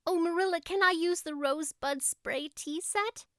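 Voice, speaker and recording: A woman's voice: extremely high pitch, moderate pace, a bit bright, no room sound, clean studio sound, pitched above the performer's own voice. A woman voicing a dreamy, talkative young girl in an audiobook, gushing with breathless enthusiasm. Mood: excited, delighted. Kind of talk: audiobook character voice.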